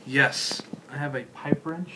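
A man's voice: on the high side, steady tone, measured pace. A man chatting casually as he plays his turn in a card game, recorded in a small echoey room. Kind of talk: casual chat during play. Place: small room at a wooden table, indoors. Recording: echoey room, faint noise.

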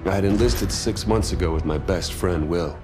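Actor as very deep-voiced, brooding American marine. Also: male voice, over music, close to an everyday voice. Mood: somber. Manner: measured, brooding. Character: somber young male marine recounting his war. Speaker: narrator and protagonist. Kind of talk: scripted cinematic narration.

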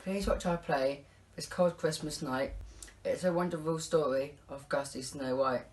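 Halting storybook narrator reading rhymes. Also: mid-range voice, slight background noise. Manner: halting, stumbles and repeats words while reading.

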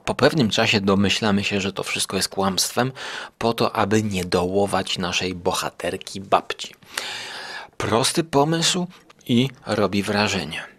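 A man hosting a podcast, giving an informal review in a halting way, rephrasing as he goes. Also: lowish voice, fairly bright tone.

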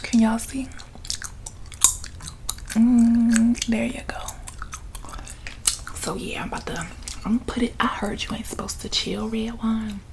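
A woman's voice: a little high, flat delivery, unhurried, long pauses, a little hiss or hum, rough recording. A young woman whispering a chatty, laughing ASMR ramble in her living room, talking to the camera in front of her. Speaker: ASMR vlogger. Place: living room with couch, indoors.

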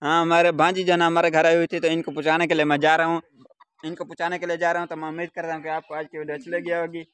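A man's voice: fairly high pitch, completely flat delivery, rapid-fire, a bit bright, clear, resonant tone, no room sound. A young man from Pakistan talking to a handheld camera outdoors in a sunny farm village, chatting casually to his viewers and repeating stock vlog phrases as he works. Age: young adult. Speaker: village vlogger. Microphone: handheld camera at arm's length.

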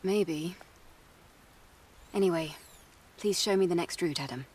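A woman's voice: on the low side, measured pace, a little mellow, breathy edge. An actress playing a serious, dutiful young female soldier in a video game, reserved and earnest. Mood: skeptical. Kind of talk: video game cutscene voice acting. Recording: distant voice under music.

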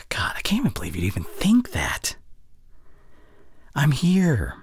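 An anxious, protective young boyfriend, acted by a man in an intimate ASMR roleplay. His voice is breathy and husky and moves around a lot in pitch. He speaks haltingly and at an unhurried pace, tender and devoted. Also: huge pitch swings, no echo, heavily acted. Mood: tender, reassuring. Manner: intimate, halting, breathy sighs, stammering when flustered.

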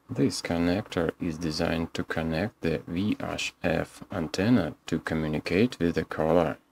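A man with an extremely low voice narrates a scripted repair guide. He speaks slowly, in short step-by-step instructions. Some noise sits behind his voice. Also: creaky. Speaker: repair technician.

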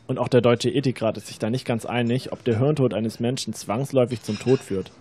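A man's voice: medium pitch, steady tone, a little fast, warm tone, lightly acted. A young man playing a sceptical, questioning university student in a scripted educational audio drama, with a rehearsed delivery. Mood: sceptical, uneasy. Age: young adult. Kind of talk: scripted educational radio-play dialogue.

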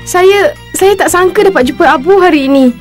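A woman's voice: very high voice, normal speed, soft background music. A woman voicing a polite, sad young orphan girl in a cartoon. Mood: grateful. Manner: soft-hearted, polite. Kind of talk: cartoon voice acting.

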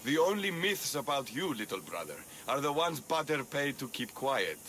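A bright, boastful warrior brother. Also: male voice, medium pitch, very animated, moderate pace, a little hiss or hum. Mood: mocking. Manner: boisterous bragging.

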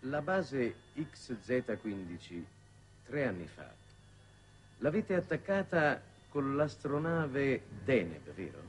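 An actor playing a shrewd, weary middle-aged statesman in a 1960s Italian television drama, speaking in formal, measured phrases. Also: mid-range voice, sing-song. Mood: probing, ironic. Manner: measured, formal, deliberate oratory. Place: television studio set. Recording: noisy old 1960s television soundtrack.

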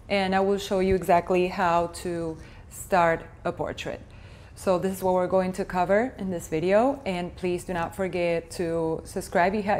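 A woman in her thirties with an Argentine Spanish accent talks through a drawing tutorial from her home. Her voice sits a little low and sounds mellow and relaxed. She speaks in a chatty, gentle way, now and then pausing to search for a word. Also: a little hiss or hum. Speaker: art tutorial host. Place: indoor room at home.

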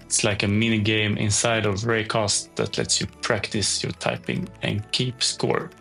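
A man, an engineer, giving a brisk scripted tip with a light teasing joke. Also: on the low side, expressive, creaky, fairly bright tone, faint music behind. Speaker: iOS engineer.

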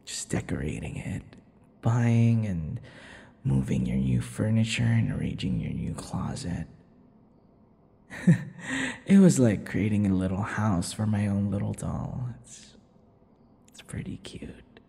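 A man playing a possessive vampire lover in an intimate ASMR roleplay, teasing and menacing, murmuring slowly with drawn-out words. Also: expressive, warm tone, heavily acted. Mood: doting, casual. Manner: slow, breathy murmurs, drawn-out words, low chuckles. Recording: long reverb, faint background noise.

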